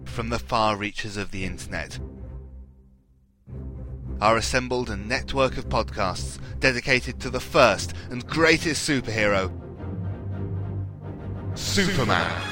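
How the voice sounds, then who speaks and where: Dude doing a big promo voice-over, lively pitch, loud music behind. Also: male voice, takes it slowly, pauses often. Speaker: network promo announcer. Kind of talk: announcer voice-over.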